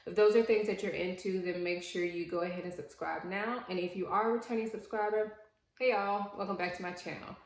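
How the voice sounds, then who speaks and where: A woman in her late thirties chatting casually to the camera as a beauty vlogger, explaining in a relaxed way with frequent ums and likes. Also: medium pitch, a little fast. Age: 30s. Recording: echoey room.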